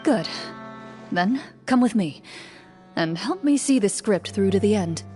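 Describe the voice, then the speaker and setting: A woman plays a calm, protective huntress in a video game. Her voice sits at a medium pitch, measured and firm, over soft background music. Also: natural voice. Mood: composed, firm. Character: composed, quietly protective female hunter. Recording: faint music behind the voice.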